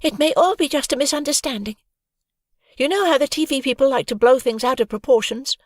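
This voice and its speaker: A narrator voicing a young girl detective, speaking high and trying to sound calm and confident. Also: a little fast, no room sound. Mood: reassuring. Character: girl sleuth Hoggy, calm and steady. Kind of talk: audiobook character voice.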